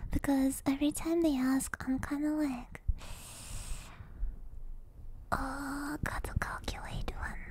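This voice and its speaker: Girl doing asmr stream chat, soft breathy whispery, high mellow voice, kinda rambly, right up on the mic. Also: measured pace, a little hiss or hum. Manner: soft-spoken, relaxed, rambling. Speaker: ASMR livestreamer. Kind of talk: ASMR casual chat with livestream viewers. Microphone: close binaural ASMR microphone.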